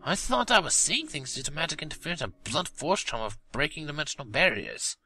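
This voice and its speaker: A man's voice: a little high, big pitch swings, a little fast, husky, pitched above the performer's own voice, full character voice, low-quality recording. A man voicing a wary, guarded hoofed creature who speaks in a dry, guarded way. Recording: loud music behind.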